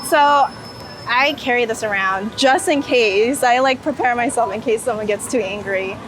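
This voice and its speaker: A woman's voice: fairly high pitch, moderate pace, a bit bright. A woman chatting informally and casually in an interview outdoors on a city street. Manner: informal, chatty, laughs easily. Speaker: street photographer, guest. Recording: noisy city street with traffic.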